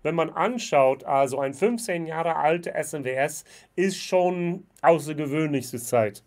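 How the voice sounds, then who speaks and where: A man's voice: a little high, measured pace, darkish tone. A man in his forties from the United States with an American accent, speaking German as a second language in a casual whisky review vlog, chatty with many fillers. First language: English. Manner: chatty, frequent fillers, gestures with bottles. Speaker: whisky vlogger. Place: indoor room with shelves of whisky bottles. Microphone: webcam at desk.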